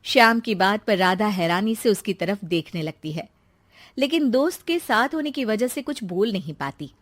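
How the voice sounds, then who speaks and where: Lively woman narrating a cartoon. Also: mid-range voice, normal speed, no echo. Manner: lively storytelling. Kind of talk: scripted storybook narration.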